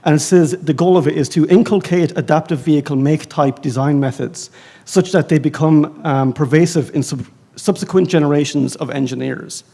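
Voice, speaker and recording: An Irish man speaking as a librarian on a conference panel, rambling and animated, with many fillers and self-mocking asides. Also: fairly high pitch, normal speed, gravelly. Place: conference stage.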